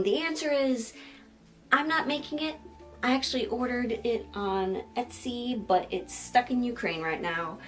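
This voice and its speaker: A woman hosting a sewing vlog in a chatty, jokey, self-deprecating way, moving between casual asides and scripted narration. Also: medium pitch. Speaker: sewing vlogger and host.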